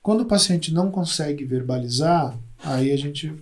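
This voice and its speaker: A man, a hospital psychologist explaining his work as a podcast guest, pausing and repeating words while he looks for them. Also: on the high side. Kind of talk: conversational interview.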